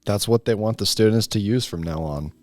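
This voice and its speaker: Young man acting as deferential school official, prim, deadpan, formal wording, slightly low voice, close dry sound, comic table read. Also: brisk, dry recording, natural voice. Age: teens.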